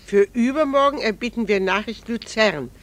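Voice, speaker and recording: Actress as composed middle-aged wife. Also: female voice, fairly high pitch, normal speed, natural voice. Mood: wistful. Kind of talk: 1960s radio drama acting.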